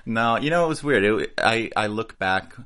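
A man's voice: on the low side, moderate pace. A man from Sweden, a native Swedish speaker, chatting casually and joking as an interview guest. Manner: relaxed, joking, laughs often. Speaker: music producer, guest.

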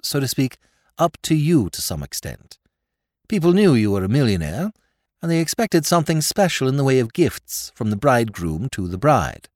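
A man's voice: mid-range voice, dry recording, clean studio sound, close to an everyday voice. Man reading as a cool, needling young American. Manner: drawling, coolly sarcastic. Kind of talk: audiobook character voice.

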